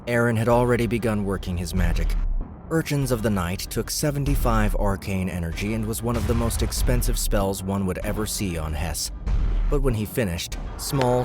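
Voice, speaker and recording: Deep-voiced male audiobook narrator over music. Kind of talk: scripted fantasy fiction narration.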